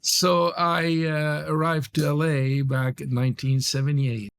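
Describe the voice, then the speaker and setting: Podcast guest in his sixties, Lebanese accent, gravelly voice, halting delivery with frequent pauses. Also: on the high side, level tone, a bit bright, no room sound. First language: Arabic. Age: 60s. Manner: halting, many pauses and fillers. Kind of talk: interview storytelling.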